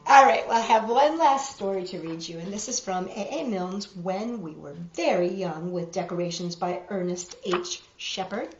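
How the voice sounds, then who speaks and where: A woman's voice: mid-range voice, lively intonation, normal speed, hardly any pauses, echoey room, lo-fi sound, faint music behind. A woman hosting a children's storytime, reading picture books aloud and chatting playfully with young viewers.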